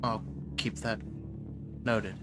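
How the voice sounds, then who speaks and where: A man's voice: fairly low pitch, little pitch movement, slow. Man playing Maya, a resigned, polite doomed woman. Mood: polite, grateful. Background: music.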